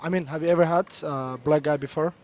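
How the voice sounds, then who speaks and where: A young man from Somalia with a Somali accent, hosting a casual street interview vlog outdoors in a city centre, chatty and quick, switching between two languages. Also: fairly high pitch, steady tone, a little mellow.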